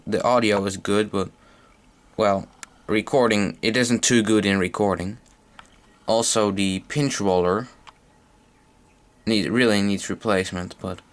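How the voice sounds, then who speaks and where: A man casually talking through an unscripted hands-on gear demonstration, a little hesitant as he goes. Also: on the low side. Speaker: hobbyist demonstrating vintage audio gear. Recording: noisy amateur camera sound with clicks.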